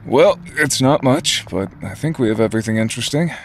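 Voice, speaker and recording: A man's voice: medium pitch, animated, fairly quick, faint music behind, close to an everyday voice. An actor playing a weary, teasing young male investigator in casual drama dialogue.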